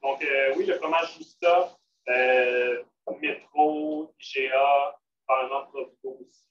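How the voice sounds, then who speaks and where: A man's voice: medium pitch, very animated, slow, vocal fry, a bit bright. A man with a Québécois French accent leading a live vegan cooking demonstration in a casual, filler-heavy way. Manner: casual, many fillers and restarts. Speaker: cook at a vegan restaurant. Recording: roomy, distant, dull, faint noise.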